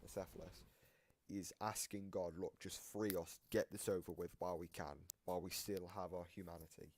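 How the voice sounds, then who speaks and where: A man giving casual, analytical reaction commentary, explaining things in a halting, rambling way that circles back on repeated phrases. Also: low-pitched, steady tone, noisy background. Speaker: reactor explaining game voice lines.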